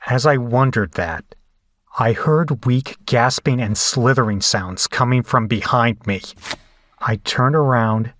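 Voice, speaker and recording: Horror drama lead, male actor: shaken survivor telling the tale gravely and unhurriedly, in a dry space. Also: mid-range voice, slow, fairly bright tone, no echo. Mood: tense, wary. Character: shaken horror survivor recounting a bloody escape. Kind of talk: first-person horror audio drama narration.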